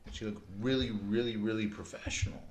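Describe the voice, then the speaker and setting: A man from Canada co-hosting a casual podcast, telling stories with lots of swearing and loud laughs. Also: on the low side, fast talker, crowd noise. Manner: animated storyteller, swears a lot, laughs loudly. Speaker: podcast co-host. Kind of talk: casual chat. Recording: echoey room with faint ambient noise.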